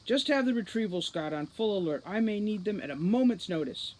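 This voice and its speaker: A woman reading a gruff, short-tempered male fairy police commander in a storybook, with a barking, commanding manner. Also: medium pitch, lively intonation, a little fast, a little mellow, pitched above the performer's own voice, natural voice. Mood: stern.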